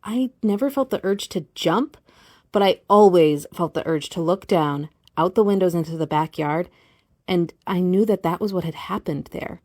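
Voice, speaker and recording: A woman's voice: on the low side, normal speed, darkish tone, no room sound, studio quality, natural voice. A woman acting in an audio play as a family member uneasy about a haunted house.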